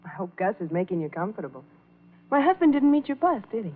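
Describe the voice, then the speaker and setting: An actress playing a polite, gracious innkeeper's wife in a 1940s radio drama. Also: female voice, medium pitch, big pitch swings, fast. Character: beautiful, courteous young wife of an innkeeper. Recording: tinny, noisy old radio recording.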